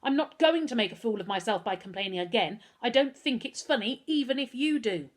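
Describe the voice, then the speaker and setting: A woman voicing a stern, fussy mother in a children's story who scolds briskly. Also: medium pitch, big pitch swings, fairly bright tone, breathy edge. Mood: scolding.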